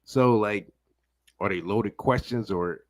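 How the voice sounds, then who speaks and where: A man's voice: fairly low pitch, slow, no room sound. A man giving casual, rambling, slangy reaction commentary with an amused manner. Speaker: reaction streamer.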